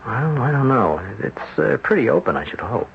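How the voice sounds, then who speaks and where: A man acting a patient, gently skeptical psychiatrist in an old-time radio drama, speaking in a hesitant, thoughtful way. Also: mid-range voice, very animated, vocal fry. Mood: patient, reassuring. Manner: hesitant, thoughtful, with hums and pauses. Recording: muffled, noisy old radio broadcast recording.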